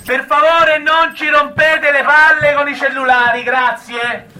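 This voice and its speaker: A man's voice: very high-pitched, little pitch movement, measured pace, bright, forceful tone, heavily acted. A man playing a bossy, foul-mouthed prison guard in a stage drama, barking sarcastic orders. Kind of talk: immersive stage acting.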